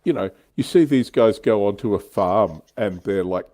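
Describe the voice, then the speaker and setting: A man's voice: on the low side, animated, normal speed, warm tone. A man co-hosting a livestream, chatting casually and explaining his opinions on a hobby. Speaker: livestream co-host. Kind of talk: casual hobby chat about tabletop wargames.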